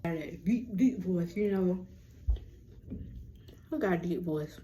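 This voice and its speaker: A young woman with a deep voice, hosting a rambling, casual lunchtime chat on her vlog. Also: slow pace, mellow, a little hiss or hum. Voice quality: low, deep voice. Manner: chatty, rambling, self-described yapper. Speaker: vlog host.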